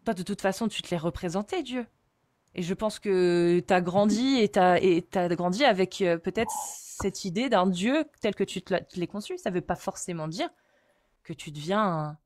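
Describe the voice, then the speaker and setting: Une femme qui anime une conversation sur la religion et qui pose des questions insistantes, en réfléchissant à voix haute avec des hésitations. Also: female voice, fairly low pitch, lively intonation, moderate pace, a little mellow, dry recording, clean studio sound. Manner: questioning, insistent, thinks aloud with fillers. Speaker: host.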